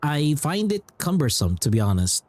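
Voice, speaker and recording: A man's voice: a little high, sing-song, moderate pace, a little mellow. A man chatting casually as a game streamer, rambling informally and often repeating his phrases. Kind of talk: casual livestream chat and commentary.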